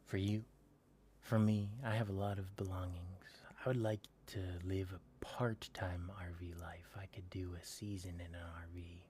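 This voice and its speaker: A man whispering softly as he chats with viewers on a late-night ASMR stream. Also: low-pitched, little pitch movement, slight background noise. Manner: soft whisper, slow and drawn out. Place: home at night.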